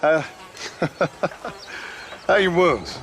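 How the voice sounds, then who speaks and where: Western game gunslinger, blunt and sceptical, fairly high-set voice, dry sarcasm, noisy outdoor setting. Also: male voice, expressive, very slow, vocal fry, fairly bright tone, full character voice. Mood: wry, dismissive. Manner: sardonic, curt. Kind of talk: Western video game acting.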